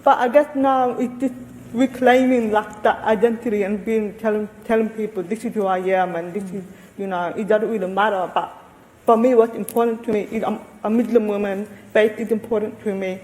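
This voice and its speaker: A woman speaking on a panel as a researcher, thoughtful and halting, often restarting her sentences. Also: medium pitch, fairly even intonation, mellow. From Australia. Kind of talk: panel discussion answers. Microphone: handheld microphone. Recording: long hall reverb.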